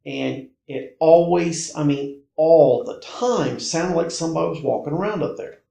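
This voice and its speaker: An American man talks casually, as a guest telling a personal story. His voice sits a little high and is thick with gravelly fry. He moves in halting, emphatic bursts, repeating himself, with the room echoing around him. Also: dark tone. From North Carolina, United States. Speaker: podcast guest recounting personal paranormal experiences. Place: indoor room, in-person conversation. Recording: roomy, echoey indoor recording.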